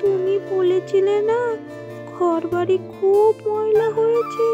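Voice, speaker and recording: A woman's voice: very high-pitched, fairly even intonation, takes it slowly, pitched below the performer's own voice. A woman voicing a grumbling, house-proud mother in a children's cartoon, complaining over music in a dull recording. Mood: irritated complaint. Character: grumbling house-proud mother who wants the birds gone. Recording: dull sound, music behind.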